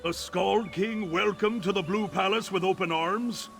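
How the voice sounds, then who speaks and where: A sardonic gravelly grumbler. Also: male voice, high voice, measured pace, over music. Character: sardonic man, mocking and bitter. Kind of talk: video game dialogue.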